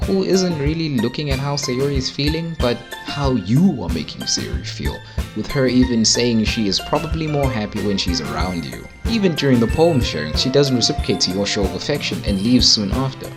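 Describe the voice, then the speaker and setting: A man's voice: medium pitch, sing-song, a little fast. A man narrating a casual, comedic video game recap in an irreverent, wry way. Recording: music behind the voice.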